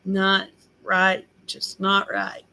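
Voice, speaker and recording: A woman's voice: medium pitch, monotone, extremely slow, pushed and bright, clear, resonant tone. An older woman, rambling in a folksy, casual chat with her viewers. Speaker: vlogger.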